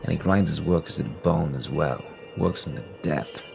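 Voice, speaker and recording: A man playing a reluctant, folksy storyteller who narrates in a halting, informal way in an audio drama. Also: extremely low pitch, gravelly, exaggerated voice. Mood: hesitant, musing. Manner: halting, informal, plain-spoken. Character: reluctant, folksy male storyteller retelling a Christmas tale. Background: music.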